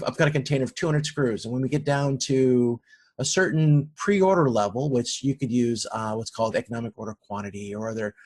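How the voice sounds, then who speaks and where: A man with a fairly low-key, husky voice delivers an informal recorded lecture. His pitch sits in the middle range for a male voice. He explains things in a halting manner, repeating and rewording phrases as he goes. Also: darkish tone. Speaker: lecturer in operations management.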